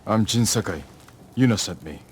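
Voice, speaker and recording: An actor playing a stoic, dutiful samurai outlaw in a dramatic game cutscene, speaking in a solemn, measured, earnest manner. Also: male voice, lowish voice, animated, a little hiss or hum, natural voice. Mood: firm, persuasive. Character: stoic, dutiful samurai man turned outlaw, guilt-laden but resolute.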